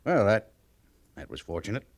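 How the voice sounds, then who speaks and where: A man playing a curt, skeptical army major with a gruff, grudging manner in a noisy old-time radio western. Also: lowish voice, sing-song, normal speed, dry recording.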